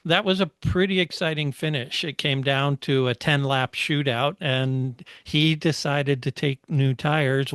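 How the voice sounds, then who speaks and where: A man co-hosting a podcast, chatting casually about motor racing, explaining history at a measured pace with many fillers. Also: mid-range voice, fairly bright tone, smooth, dry recording. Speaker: podcast co-host.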